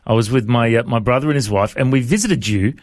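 A man's voice: medium pitch, quick pace, no room sound, studio quality. A man in his forties with an Australian accent, hosting a conversational podcast interview. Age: 40s. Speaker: radio interview host.

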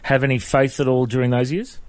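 A man hosting an interview podcast on location, asking questions in a friendly, quick way. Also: mid-range voice, level tone, dry recording. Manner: friendly, quick, affirming with short "mm"s. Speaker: podcast host. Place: on location abroad.

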